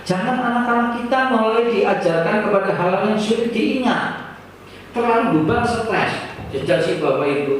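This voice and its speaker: A man's voice: high voice, expressive, moderate pace. A man with a Banyumasan Javanese accent giving an informal, didactic speech to teachers, full of rhetorical questions and colloquial Javanese. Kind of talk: informal public address to teachers. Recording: echoey room from far off, faint noise.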